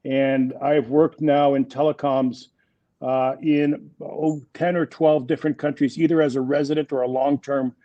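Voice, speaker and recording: A man's voice: mid-range voice, flat delivery, moderate pace, dark tone, no room sound, dull audio. A French Canadian man in his sixties, a native French speaker, speaking English in an interview with many fillers and restarts. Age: 60s. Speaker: guest executive. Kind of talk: interview conversation.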